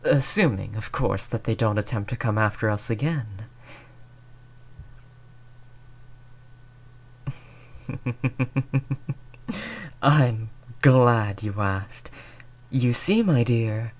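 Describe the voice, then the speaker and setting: A predatory aristocratic vampire acted out by a performer in a roleplay audio drama. The delivery is very unhurried and theatrical, silky and taunting, drawing out each menace with seductive relish. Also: mid-range voice. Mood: coolly persuasive. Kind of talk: scripted roleplay audio monologue.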